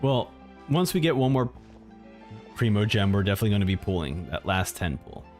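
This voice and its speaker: A man's voice: fairly low pitch, big pitch swings, brisk. A man streaming casual gameplay commentary, reacting in a wry, offhand way. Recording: faint game music behind.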